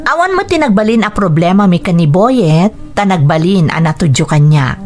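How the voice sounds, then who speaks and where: An actress with an Ilocano accent playing a gentle, anxious young fiancee in a Philippine radio drama. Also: female voice, on the low side, expressive, moderate pace, natural voice. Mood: reflective, troubled. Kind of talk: Philippine radio drama acting and first-person narration.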